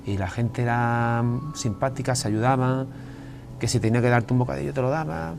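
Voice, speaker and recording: A man's voice: medium pitch, steady tone, normal speed, gravelly, background noise. An Andalusian man, a writer being interviewed, talking casually with frequent restarts and repeated words. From Andalusia, Spain. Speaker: guest writer. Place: television studio.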